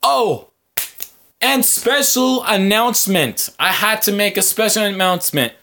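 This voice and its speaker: A man with a bright, forward voice that sits a little high hosts a casual podcast. He rambles to his viewers in a halting, hesitant way, often stretching out pauses as he looks for the next phrase. His overall delivery is very unhurried. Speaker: podcast host.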